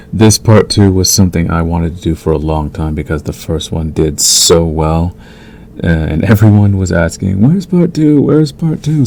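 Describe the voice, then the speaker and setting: A man's voice: sits low, slight background noise. A man hosting his audio channel, chatting to listeners in an informal, self-effacing way with some swearing. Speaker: audio roleplay creator, channel host. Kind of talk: casual outro chat to listeners.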